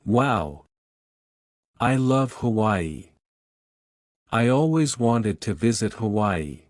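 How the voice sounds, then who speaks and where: A man reading one side of a scripted English lesson dialogue, speaking in simple sentences with deliberate, even spacing. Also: fairly low pitch, no room sound, clean studio sound. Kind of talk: slow scripted practice dialogue.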